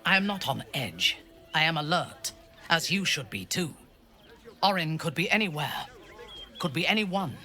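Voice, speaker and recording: A wary adventurer speaking gravely and with resolve. His voice is bright and forceful, rising and falling expressively. Soft game music runs beneath. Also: on the high side, expressive, moderate pace, pauses often. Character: wary male adventurer companion. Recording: game audio with music underneath.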